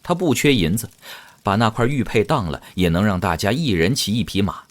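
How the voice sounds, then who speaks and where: A man's voice: fairly low pitch, animated, brisk. A man narrating a fantasy audio drama in a dramatic, scripted storytelling style. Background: music.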